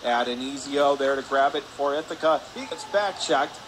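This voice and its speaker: A man doing live radio play-by-play of a hockey game in brisk, clipped calls. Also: medium pitch. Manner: brisk clipped present-tense calls, rising on shots. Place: indoor ice rink. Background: loud arena ambience noise.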